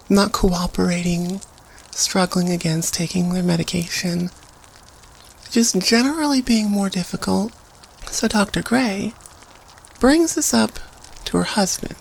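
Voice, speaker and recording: A woman playing a student who tells an eerie campfire story in a halting, conversational way, in an audio roleplay. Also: on the low side, fairly even intonation, gravelly, a little hiss or hum, rough recording. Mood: eerie, measured. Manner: halting, conversational, thoughtful phrasing.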